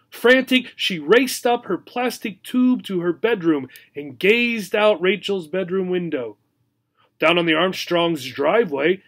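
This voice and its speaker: High-voiced man reading a children's story. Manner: expressive, explanatory storytelling for learners. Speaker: teacher reading aloud. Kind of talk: children's story read-aloud with simple recap.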